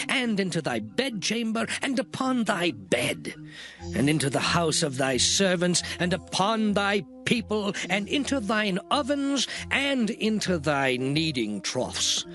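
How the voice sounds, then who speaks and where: A solemn ancient prophet in a scripture audio drama, proclaiming with weight and emphasis. His voice sits high and rises and falls in a lively way, with soft music behind. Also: exaggerated voice. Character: prophet Moses, solemn adult man messenger. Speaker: Moses in a dramatized Bible reading.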